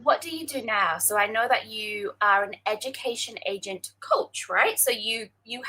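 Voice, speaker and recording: A woman's voice: a little high, normal speed, pushed and bright, breathy edge, poor audio. A woman hosting an interview over a video call, chatty and upbeat, with many "um" and "like" fillers. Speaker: host.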